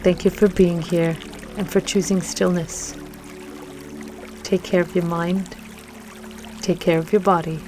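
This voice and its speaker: A woman leading a scripted guided meditation with affirmations in a gentle, soothing manner, leaving long pauses between phrases. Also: lowish voice, level tone. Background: music and trickling water.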